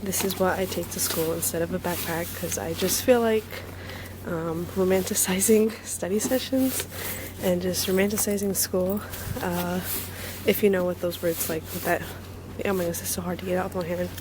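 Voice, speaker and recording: Slightly hoarse young woman vlogging. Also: on the low side, fairly even intonation, moderate pace, noisy background. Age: young adult. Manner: chatty, upbeat, rambling with many ums. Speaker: vlogger, university student. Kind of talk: casual vlog chat. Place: outdoors on a campus, then an indoor study corner.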